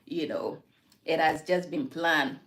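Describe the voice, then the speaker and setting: Slow, reflective woman chatting on a livestream. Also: low voice, expressive, a bit bright. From Ghana. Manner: slow, reflective, faith-filled talk, answering comments. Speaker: livestream host. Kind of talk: casual livestream chat with viewers. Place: her home.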